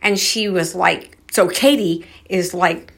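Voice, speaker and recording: A woman's voice: fairly low pitch, slow pace, creaky, breathy edge. A woman host telling strange stories to her viewers in a casual, musing, conversational way, taking her time. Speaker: host of a paranormal storytelling channel.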